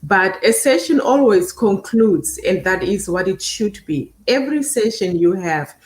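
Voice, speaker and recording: A woman guest on a panel who speaks haltingly, often repeating words. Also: mid-range voice, animated, a little mellow, a little hiss or hum. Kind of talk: panel discussion. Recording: echoey room.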